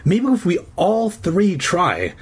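A man's voice: high-pitched, wildly swooping pitch, takes it slowly, breathy edge, pitched above the performer's own voice, exaggerated voice. A man voicing a frightened, insistent schoolkid in a scary storybook, with breathless, exclaiming delivery.

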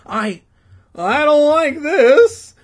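A man's voice: a little high, big pitch swings, normal speed, breathy edge, voice pushed up. A man voicing an impatient schoolboy from a storybook, complaining and insistent. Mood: uneasy. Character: impatient, grumbling schoolboy, the worm collector's hungry friend.

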